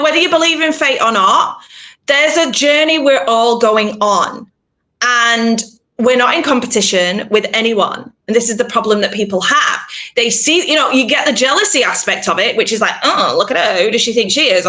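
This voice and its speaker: A woman with a bright, clear voice talks through an interview at a lively clip. She is emphatic and animated, slipping into little acted-out voices for her own thoughts. Middle-aged, casual and direct. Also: fairly high pitch. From England. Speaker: PR consultant guest.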